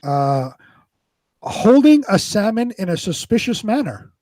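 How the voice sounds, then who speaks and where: Higher-voiced man, casual co-host chat. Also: very animated, unhurried, warm tone, dry recording. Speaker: co-host of a live online show.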